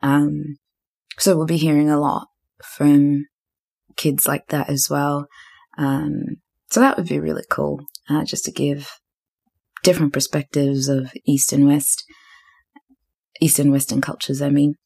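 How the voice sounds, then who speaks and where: A woman's voice: low voice, little pitch movement, measured pace, creaky, pauses often, darkish tone, husky. A young woman from Zimbabwe and New Zealand hosting a solo podcast, rambling and thinking aloud with lots of fillers. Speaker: podcast host.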